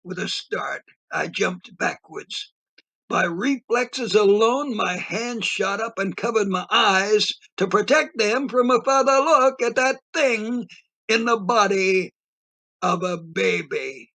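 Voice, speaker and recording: A man plays a rambling, jumpy beachgoer narrating his story in an audio play. His voice is notably high for a man and crackles with fry. He takes his time, stretching words for theatrical emphasis. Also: slow pace, sharp tone, exaggerated voice. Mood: horrified. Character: rambling, easily spooked beachgoer who loves rye, telling his tale. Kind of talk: dramatic first-person audio play narration.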